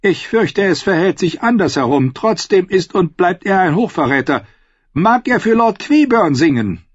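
A man's voice: sits high, very animated, normal speed, breathy edge, no echo, highs cut off, pitched above the performer's own voice, exaggerated voice. A man voicing a cruel, scheming queen in an audiobook, commanding and falsely sweet. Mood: cold.

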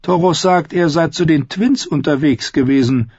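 A man voicing a calm outlaw singer in an audiobook, teasing and falsely courteous. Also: on the high side, moderate pace, slightly rough, no echo, dull audio, pitched above the performer's own voice. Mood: mock-friendly. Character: wry outlaw singer, mockingly polite and calm. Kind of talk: audiobook character voice.

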